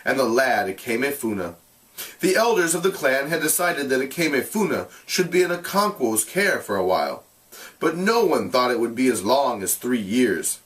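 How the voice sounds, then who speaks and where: A man narrates in an expressive read-aloud style, his pitch moving widely around a middling register. He speaks deliberately, like a teacher explaining a text. The microphone sounds a little way off from him. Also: far from the mic, poor audio. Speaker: teacher reading a novel aloud.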